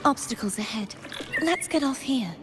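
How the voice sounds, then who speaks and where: A woman's voice: sits high, expressive, moderate pace. A woman voicing a composed, earnest young guide with powers in a video game. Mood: focused. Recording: game music behind the voice.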